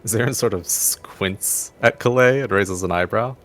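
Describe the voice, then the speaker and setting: A man narrating a tabletop roleplaying game as game master, in a halting, pausing way. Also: on the low side. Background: soft background music.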